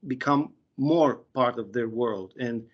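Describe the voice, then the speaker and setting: A man's voice: mid-range voice, slow pace. A man in his thirties with a Romanian accent, an interpreter speaking hesitantly on a video call. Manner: hesitant, many fillers and restarts. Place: small room indoors. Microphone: video call webcam. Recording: dull lo-fi video call audio.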